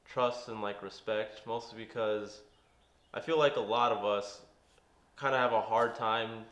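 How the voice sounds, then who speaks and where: A young man in his twenties with a fairly low voice talks casually and hesitantly, filling his answers with "like" and "kinda". The room around him has a noticeable echo. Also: fairly even intonation, a little slow, fairly bright tone, highs cut off. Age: 20s. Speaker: interviewee.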